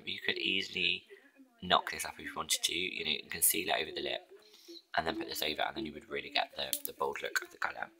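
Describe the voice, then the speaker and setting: A man with a husky, hoarse voice chatting in a rambling way through his get-ready-with-me routine, often dropping to a whisper. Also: very deep voice, pushed and bright, rough recording. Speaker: beauty vlogger.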